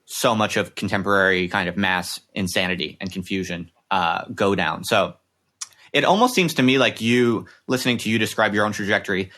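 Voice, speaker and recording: A man's voice: fairly low pitch, brisk, sharp tone. A man hosting a conversational interview, earnestly working through long, wordy, reflective questions. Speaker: interviewer, podcast host.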